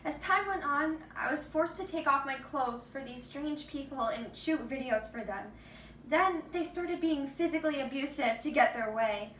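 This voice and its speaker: A woman's voice: high voice, moderate pace, a bit bright. A woman playing an anguished, bitter young captive in a rehearsed stage monologue. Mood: anguished, sorrowful. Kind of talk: rehearsed readers theater monologue. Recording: roomy distant mic with background noise.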